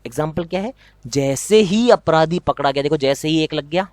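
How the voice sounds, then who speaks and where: A young man with a Rajasthani accent teaches an exam prep lesson in a slightly higher than usual voice. He drums in key points by repeating them and tossing rhetorical questions at his students. The sound is dry and close, with no room in it. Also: moderate pace, a little mellow, no room sound.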